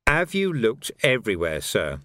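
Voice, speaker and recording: A man voicing a tactful, shrewd police sergeant in an audiobook, speaking with measured deference. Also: lowish voice, wildly swooping pitch, pushed and bright, dry recording, studio quality, exaggerated voice.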